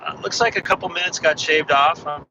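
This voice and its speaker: A man slightly hoarse from losing his voice, chatting in a rambling way while driving. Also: a little high, a little fast. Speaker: ham radio vlogger. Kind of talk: casual chat while driving. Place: inside a car on a freeway. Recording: dull muffled car recording with road noise. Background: road and engine noise.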